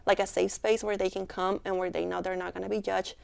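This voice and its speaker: A woman's voice: medium pitch, flat delivery, fast, vocal fry, darkish tone. A young woman from Mexico with a Mexican Spanish accent, earnestly answering interview questions with many fillers. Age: young adult. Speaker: student club member, interviewee.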